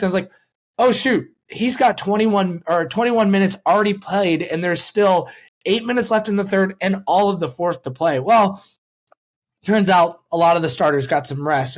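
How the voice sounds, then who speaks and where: A man hosting a sports podcast, talking casually and explaining his points in a conversational way. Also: high-pitched.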